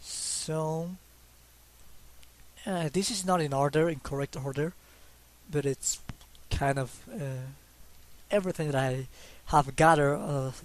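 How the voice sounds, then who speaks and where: A man's voice: a little high, slow pace. A young man from Mexico, speaking English with a Mexican Spanish accent, talks casually and hesitantly about his drawings, with many fillers and restarts. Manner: self-conscious, laughs a little. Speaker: hobby artist.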